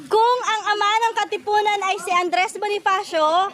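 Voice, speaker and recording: A woman with a Filipino accent playfully hosting a quiz, putting questions loudly to contestants. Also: extremely high pitch, steady tone, moderate pace, noisy background. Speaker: quiz host. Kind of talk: playful quizzing in a comedy video.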